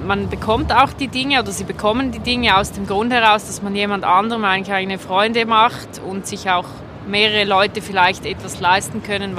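A woman with a Swiss accent giving casual, spontaneous answers in a street interview. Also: mid-range voice. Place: outdoors on a city street. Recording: outdoor street noise with traffic.

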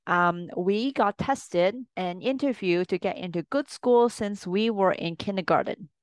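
A woman's voice: mid-range voice, unhurried, clear, resonant tone, dry recording. A woman from Hong Kong hosting her own podcast as a life coach, talking through her points in an earnest, conversational way and repeating them for emphasis. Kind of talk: solo podcast monologue.

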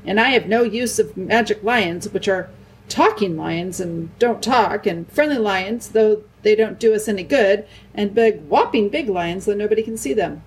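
A woman's voice: medium pitch, normal speed, a little hiss or hum, voice pushed up, natural voice, rough recording. A woman voicing a blunt, skeptical male dwarf soldier who grumbles in plain words. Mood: grumbling.

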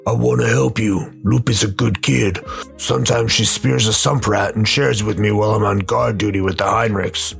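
A man acts out a towering, scarred former pit fighter in a sci-fi radio play. The voice is low and heavily gravelled, gruff yet loyal, with rough colloquial slang. Faint music plays underneath. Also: steady tone, moderate pace, fairly bright tone. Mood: gruff, easygoing. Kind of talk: radio play character acting.

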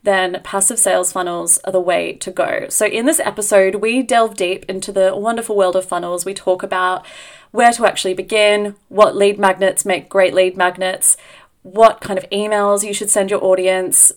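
A woman's voice: mid-range voice, steady tone, moderate pace. Female podcast host, mid-range voice, effusive and conversational delivery. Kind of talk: podcast introduction and interview chat.

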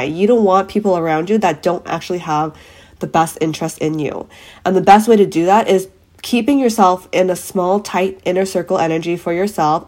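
A woman with a somewhat low voice hosts her own podcast, a touch raspy as she comes down with a cold. She speaks earnestly and with emphasis, talking straight to her listeners in a motivational monologue. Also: darkish tone. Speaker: podcast host.

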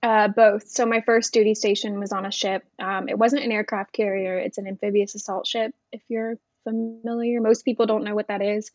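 A woman with a mid-pitched voice talks informally as a guest in a conversation, explaining as she goes. The recording is dry and close, with a slightly dull, lo-fi tone. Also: little pitch movement, moderate pace, few pauses, no echo, faint music behind. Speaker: podcast guest, Navy veteran. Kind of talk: casual interview chat.

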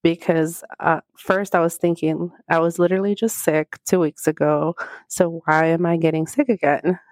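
A woman hosting a solo podcast, her voice scratchy as she recovers from illness, rambling chattily with lots of fillers while alone in a quiet office on a small handheld mic. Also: fairly low pitch, steady tone, moderate pace, no room sound. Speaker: podcast host. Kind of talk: casual solo podcast chat. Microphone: small handheld microphone.

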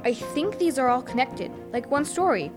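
An actress playing Chloe, a curious, excitable young girl in a children's audio drama. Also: high voice, moderate pace, music in the background. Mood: awed. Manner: wide-eyed, excitable.

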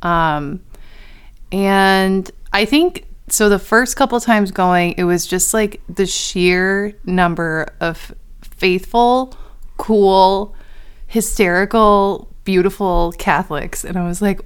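A woman's voice: mid-range voice, takes it slowly, smooth. A young woman in her twenties, a podcast co-host, chatting casually and laughing often as she talks. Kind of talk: casual chat.

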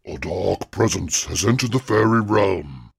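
A man voicing a stately, commanding troll king who speaks slowly and gravely. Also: low voice, expressive, dry recording, studio quality, full character voice. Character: deep-voiced regal troll king, commanding.

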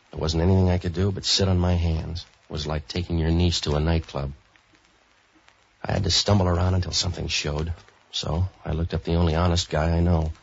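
A hard-boiled waterfront tough guy from an old radio detective show. His voice is very low and husky, the delivery deadpan and cynical, on a dull vintage recording. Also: heavily acted. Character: hard-boiled, street-wise, wisecracking waterfront man for hire. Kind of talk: 1940s radio detective acting and narration.

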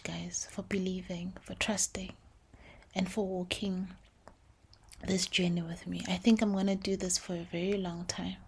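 A woman hosting a podcast, speaking softly and calmly in a reflective faith talk, slipping between English and Sesotho phrases. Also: lowish voice, slightly rough. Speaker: podcast host.

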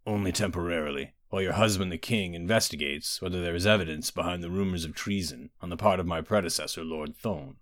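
A man's voice: low-pitched, fast talker, few pauses, fairly bright tone, dry recording, studio quality. A man voicing an enormous, genial and loyal warlord who addresses royalty with jovial deference in an audio storybook. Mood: courteous.

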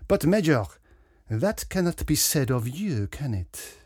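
A man playing a pompous French detective, lively pitch. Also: slightly rough, dry recording, studio quality, exaggerated voice. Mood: smug, probing. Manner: pompous, self-regarding, deliberate. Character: pompous, theatrical foreign male detective, small and dapper.

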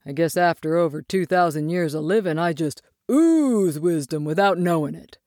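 A woman's voice: lowish voice, expressive, no room sound, natural voice. Ancient old tree gent, easygoing and wise, put on by a woman, dry and close for a storybook. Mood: musing. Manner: unhurried, warm, self-amused. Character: ancient giant male sequoia, wise and easygoing. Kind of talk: storybook character voice.

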